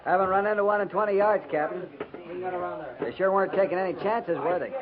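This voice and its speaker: A young American cavalry lieutenant played by a man, earnest and troubled, with a fairly high voice for a man. It is 1950s radio western acting, on a tinny old recording with a busy background. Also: fairly quick, over a noisy crowd, close to an everyday voice. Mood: troubled, questioning. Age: young adult.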